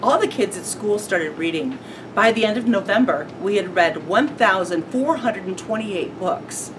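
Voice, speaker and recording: A woman's voice: expressive, moderate pace. A woman reading a children's story aloud as a member of school staff. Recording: noisy, rumbly, distant microphone.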